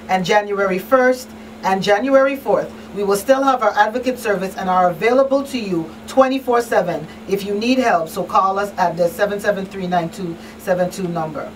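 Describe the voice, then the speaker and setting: A woman hosting a talk show in a conversational, emphatic and plain-spoken way, repeating key phrases. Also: mid-range voice. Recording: distant mic, faint background noise.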